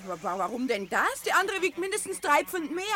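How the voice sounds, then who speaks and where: A bright chatty sister. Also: female voice, sits high, very animated, fast talker, heavily acted. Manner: chatty, easygoing, laughing. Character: cheerful homely woman, the thief's sister. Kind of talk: 1950s radio drama acting.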